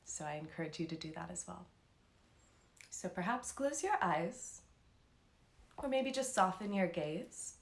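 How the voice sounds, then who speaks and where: A woman leading a live guided meditation as an instructor, speaking in a calm, soothing, unhurried way with long pauses. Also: lowish voice, vocal fry, reverberant.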